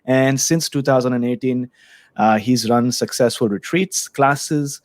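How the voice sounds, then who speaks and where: A man's voice: mid-range voice, measured pace, a little mellow, dry recording, studio quality. A man hosting a spiritual podcast, reading an upbeat intro and asking questions over a video call. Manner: upbeat, scripted intro with fillers. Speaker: podcast host.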